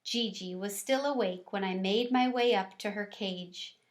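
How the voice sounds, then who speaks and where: A woman reading a children's book aloud in a casual, expressive storytelling style. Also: mid-range voice, unhurried.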